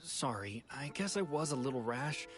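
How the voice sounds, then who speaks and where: A man's voice: medium pitch, animated, normal speed, natural voice. A man voicing a nervous, apologetic fugitive in a video game, polite and hesitant, with music behind him. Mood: apologetic, grateful. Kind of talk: English video game character acting.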